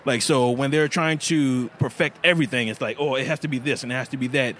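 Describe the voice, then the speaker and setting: A man in a casual festival interview explains how he makes his knives, earnest and a little halting, with frequent fillers. His pitch sits mid-range. Faint outdoor ambience hums behind him. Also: steady tone, a bit bright, a little hiss or hum. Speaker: knife maker.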